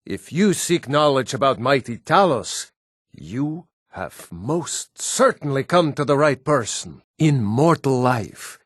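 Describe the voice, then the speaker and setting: A stern Nord of a fantasy game. He speaks slowly and deliberately at a medium pitch, with proud weight, recorded very dry and close. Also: very animated, dry recording. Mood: reverent, lecturing. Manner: measured, weighty, deliberate delivery. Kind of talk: fantasy video game dialogue.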